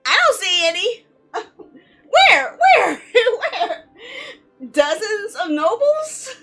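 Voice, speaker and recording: A woman's voice: extremely high pitch, sing-song, very slow, a bit bright, soft background music. A woman streaming a visual novel, reacting playfully with giggly asides as she reads the story. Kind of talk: lively game stream commentary, reading story text aloud.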